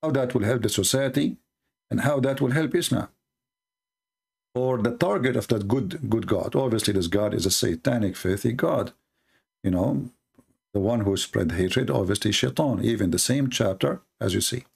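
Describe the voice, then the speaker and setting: A man's voice: medium pitch, normal speed, creaky, breathy edge. A man whose first language is Arabic, hosting a heated debate and reading from texts on screen in a mocking, combative way. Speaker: debate host. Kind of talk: screen-share debate commentary.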